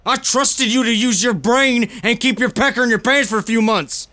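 Actor as a blunt frontier brother, dry recording. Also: male voice, extremely high pitch, moderate pace, bright, forceful tone, dry recording. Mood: angry sarcasm. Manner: folksy, blunt, sardonic. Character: plain-spoken, exasperated protective elder brother, 1890s farmer. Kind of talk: dramatic acting for an animated storyboard film.

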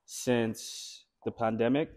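A man, a guest founder in an interview, speaking in a relaxed, reflective way with "you know" fillers. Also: mid-range voice, slow. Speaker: sound studio founder, guest. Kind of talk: conversational interview.

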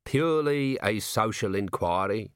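A man plays a weary, stern commander of the watch, with dry, clipped delivery. The pitch still moves a lot from line to line. The recording is dry and close. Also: animated, measured pace, a bit bright, clean tone, dry recording. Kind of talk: audiobook character voice.

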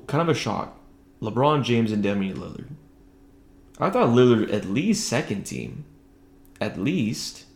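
A man hosting a casual solo sports podcast, talking conversationally and keeping his voice down as he records at home. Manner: conversational, keeping voice low late at night. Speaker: podcast host. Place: indoors at home, echoey room. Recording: roomy, echoing home recording.

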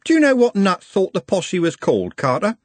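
A man voicing a cocky young male street lad who talks in a high, boastful rush. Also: wildly swooping pitch, brisk, no echo.

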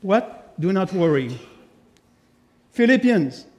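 A man from Quebec with a French Canadian accent, preaching a sermon as a guest speaker in a church, with lively asides to the congregation. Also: sits high, slow pace. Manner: animated, chatty asides to the congregation. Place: church sanctuary. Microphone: lectern microphone.

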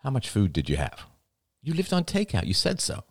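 A man voicing a grieving elderly father in an audiobook, gentle and halting, close to tears. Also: medium pitch, wildly swooping pitch, no echo, pitched above the performer's own voice. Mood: searching, uneasy. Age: older. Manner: halting, sighing, breaking into sad laughter. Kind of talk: dramatic audiobook dialogue.

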